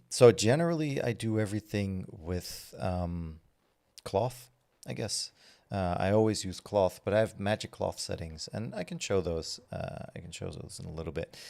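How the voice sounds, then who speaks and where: A man, his voice deep and gravelly with a lot of fry. He chats loosely with viewers during a livestream, rambling through ums and false starts. The tone stays easygoing and unhurried. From Belgium. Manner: relaxed and rambling, with many fillers and restarts. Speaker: streamer answering chat. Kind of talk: casual livestream chat while working.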